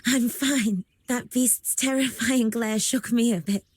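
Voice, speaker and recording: A woman voicing a gentle, composed young incense bearer in a video game, calm and polite, with a slightly husky voice. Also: a little high, takes it slowly, no room sound. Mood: calm, reassuring. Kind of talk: video game character acting.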